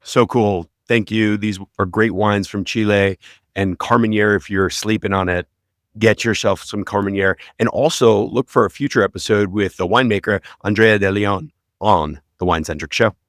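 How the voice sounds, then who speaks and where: A man's voice: on the low side, moderate pace, dry recording, studio quality. A man hosting a podcast, chatting with winemakers on site at a wine tasting with upbeat questions. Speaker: podcast host. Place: busy indoor tasting event.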